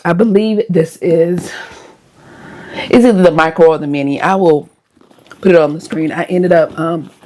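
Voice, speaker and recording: A woman with a hoarse voice sits a little low in pitch as she chats easily with her audience. She talks straight to viewers in a relaxed, conversational vlog style. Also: normal speed, pauses often. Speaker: lifestyle vlogger. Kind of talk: casual chat to viewers.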